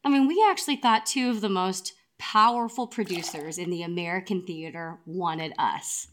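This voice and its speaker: A woman's voice: fairly low pitch, moderate pace, a bit bright, a little hiss or hum, close to an everyday voice. A woman acting as an exasperated musical writer in a naturalistic comic audio drama, emphatic and conversational. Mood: anxious. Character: exasperated, broke female musical writer, anxious but bossy.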